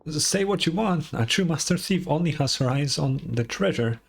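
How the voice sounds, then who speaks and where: A man's voice: fairly high pitch, lively intonation, normal speed, vocal fry, breathy edge, exaggerated voice. A man voicing a proud, prickly female master thief in a video game, brash and demanding. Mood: defiant.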